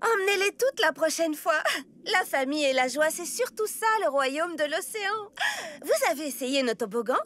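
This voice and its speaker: Dorinda, high lively cartoon dolphin girl. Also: normal speed. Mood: cheerful, welcoming. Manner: lively, encouraging. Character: Dorinda, cheerful reassuring dolphin girl, leader of stunt swimmers. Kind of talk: French animated series dubbing. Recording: voice over background music.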